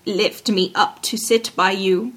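A woman voicing an insistent, plaintive male frog in a fairy tale, speaking slowly and drawing out the words. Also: mid-range voice, fairly even intonation, voice pushed down. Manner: slow, drawn-out, deliberate delivery. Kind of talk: fairy tale storybook character voice.

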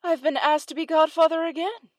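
A woman's voice: very high-pitched, steady tone, a little fast, no echo, voice pushed up. Woman as a sly, drawling storybook tomcat. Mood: coaxing. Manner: dry, offhand, drawling. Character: sly, greedy, smooth-talking male cat.

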